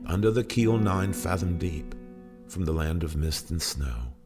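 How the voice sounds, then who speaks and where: A man's voice: low-pitched, animated, unhurried. A man voicing a haunted old sailor in a solemn, theatrical recitation of narrative verse. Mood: hushed, wondering. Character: haunted old male sailor recounting ghostly ordeal at sea. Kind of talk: dramatic poetry recitation. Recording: music under the voice. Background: plucked guitar music.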